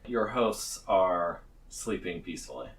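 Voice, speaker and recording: A man with a low voice narrates a tabletop game as game master. He talks casually and is recorded a little way back from the microphone. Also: animated, a little slow, distant mic.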